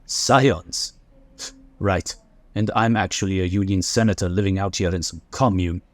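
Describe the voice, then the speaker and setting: An actor playing a suspicious, protective father who is gruff and hostile toward strangers at his door. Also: low voice, moderate pace, no room sound, natural voice. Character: defensive, protective working-class father. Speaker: character in audio drama.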